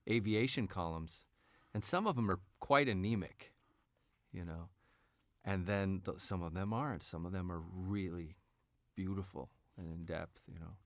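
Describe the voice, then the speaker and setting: Man, fairly low pitch, dry recording, informal rambling phrasing, casual podcast discussion. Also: moderate pace, no room sound.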